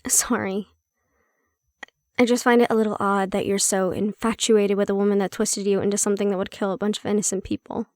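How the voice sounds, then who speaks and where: Girl doing a prickly fantasy handler lady for audio rp, medium pitch, matter of fact, bit wry, secretly cares, dry sound. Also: flat delivery, brisk, darkish tone, no echo, natural voice. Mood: wry, blunt. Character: prickly but secretly caring woman handler in fantasy facility. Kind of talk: intimate audio roleplay, addressed to the listener.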